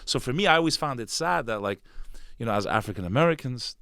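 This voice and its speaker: A man's voice: mid-range voice, expressive, fast talker, gravelly, sharp tone, clear, resonant tone, dry recording. A man talking as a podcast guest in a studio, intense and emphatic, swearing freely in casual conversation. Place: studio with a green screen.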